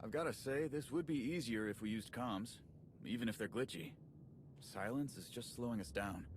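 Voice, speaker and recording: A man's voice: mid-range voice, moderate pace, a little hiss or hum, close to an everyday voice. A man acting a chatty, friendly male soldier and slicer in a video game cutscene, casual and wry with rambling asides.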